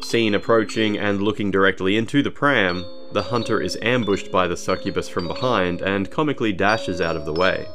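Male narrator for game analysis, low-pitched, documentary-style scripted read over a music bed. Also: moderate pace, few pauses. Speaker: video narrator.

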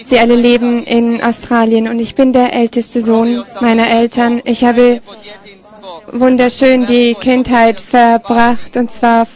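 Female live interpreter, higher-pitched, mellow, halting piecemeal delivery at an unhurried pace. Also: completely flat delivery. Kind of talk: live consecutive interpreting of a testimony.

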